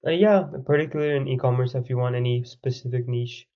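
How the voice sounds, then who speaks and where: A man's voice: mid-range voice, fast. Man, consultant host, casual, filler-heavy, video call, muffled audio. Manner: loose, leans on "you know" and "like".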